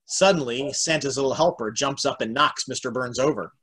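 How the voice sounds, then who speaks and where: A man with a husky voice reading stage directions as narrator of an amateur table read. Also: mid-range voice, a little fast, fairly bright tone. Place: home room, on a video call.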